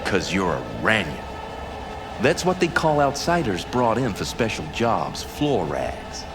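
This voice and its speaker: A deep-voiced man playing a smug corporate agent in a cyberpunk game. He talks in a measured, knowing, persuasive way with music behind. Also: big pitch swings. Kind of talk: video game dramatic acting.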